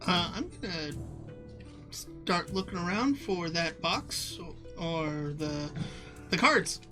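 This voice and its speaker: A woman's voice: on the low side, sing-song, slow, music in the background. A woman playing a tabletop game, chatting casually as she describes what her character does.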